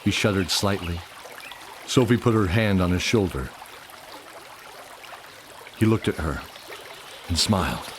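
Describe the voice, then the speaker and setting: A man's voice: sits low, animated, unhurried, long pauses, background noise. A man reading scripted third-person narration for a fantasy radio play.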